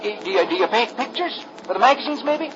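A man voicing an eager young boy who talks in an excited rush, on an old radio recording. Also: extremely high pitch, brisk, highs cut off, over music, voice pushed up.

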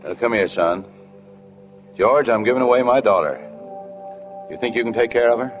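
A man's voice: mid-range voice, moderate pace, faint music behind, lightly acted. A man playing a reassuring, fatherly small-town father of the bride in an old-time radio drama. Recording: dull old radio recording.

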